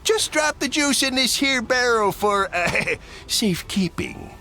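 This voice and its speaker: Gravelly, greedy old crab. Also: male voice, very high voice, expressive, measured pace, music in the background, exaggerated voice. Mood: sly. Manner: blustering, sly, salty. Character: greedy old crusty cartoon crab, scheming sailor. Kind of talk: animated video game voice acting.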